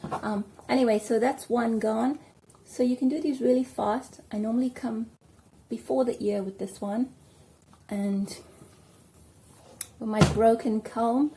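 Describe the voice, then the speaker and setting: A South African woman chatting casually at home while doing her hair, rambling and filling pauses with ums. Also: fairly high pitch, fairly even intonation, dark tone, a little hiss or hum. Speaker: vlogger. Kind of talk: casual hair tutorial chat.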